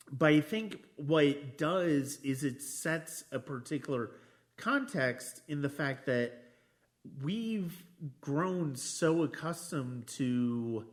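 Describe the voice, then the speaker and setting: A man hosting a podcast, talking casually about films in a reflective, halting way with frequent restarts. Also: medium pitch. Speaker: podcast host. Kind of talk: casual film discussion.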